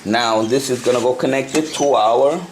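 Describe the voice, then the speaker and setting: A man giving an unscripted hands-on tutorial, talking haltingly and repeating himself as he works through the steps. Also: mid-range voice. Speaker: hobbyist presenter of a CB radio tutorial. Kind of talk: unscripted hands-on how-to demonstration. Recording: noisy amateur home video.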